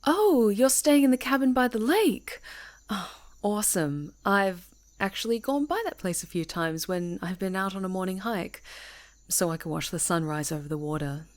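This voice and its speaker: A woman's voice: mid-range voice, expressive, moderate pace, lightly acted. Woman playing a friendly, teasing camper. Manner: easygoing, teasing, conversational. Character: friendly, chatty woman camper on a woodland retreat. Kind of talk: ASMR roleplay, addressing an unheard listener.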